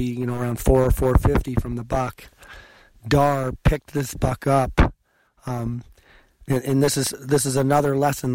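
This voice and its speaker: A hoarse man who has lost his voice, hosting an outdoor podcast on a mountainside and drawing practical lessons from his story. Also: medium pitch, darkish tone, dry recording, poor audio. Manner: instructive, recounting and drawing lessons. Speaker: hunting podcast host. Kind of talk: casual outdoor storytelling and advice.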